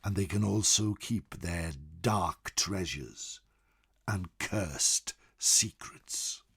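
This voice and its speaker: A man narrating fantasy lore as a venerable old scholar, grave and measured, with dramatic emphasis and a slightly husky voice. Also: low-pitched, fairly bright tone, exaggerated voice. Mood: ominous, wary. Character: venerable old scholar recounting wary, wondrous tales of distant lands. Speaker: storyteller.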